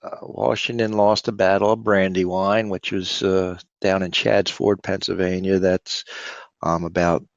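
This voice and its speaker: A man's voice: sits low, little pitch movement, a little slow, vocal fry, no room sound, dull audio. A man with a Philadelphia accent, a host at a historic fort, answering interview questions in a rambling way with many fillers.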